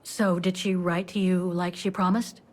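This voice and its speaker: An actress playing a gentle, inquisitive woman in a story game, with a soft, husky voice. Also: lowish voice, flat delivery, normal speed, natural voice. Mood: curious, gentle. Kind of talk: dramatic game dialogue.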